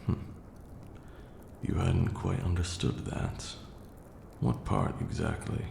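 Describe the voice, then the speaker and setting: A haughty, patient vampire lord, acted by a man for an ASMR roleplay, lectures like a pompous tutor of magic. The voice is deep and slow, with long deliberate pauses. It comes through with a clear background noise. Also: huge pitch swings, heavily acted. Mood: patient lecturing. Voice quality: low, gravelly. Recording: roomy echo, audible background hiss.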